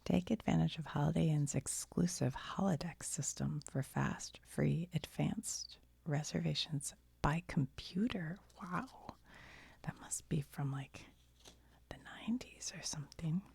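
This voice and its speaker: A woman with an American accent murmurs in a low-pitched, heavily creaky whisper. Her breath roughens every phrase and blurs the tone. She chats casually and reads aloud as if thinking out loud for an ASMR viewer. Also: measured pace, no room sound. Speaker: host of a whispered show-and-tell video. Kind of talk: ASMR, whispered show-and-tell with reading aloud.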